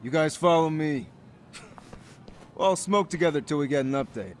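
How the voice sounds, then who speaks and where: An actor playing a cocky young male high school gang leader in an English game dub, mocking, swaggering and slangy. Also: moderate pace, a little hiss or hum, close to an everyday voice. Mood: smug, amused. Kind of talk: English video game dub cutscene acting. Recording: music under the voice.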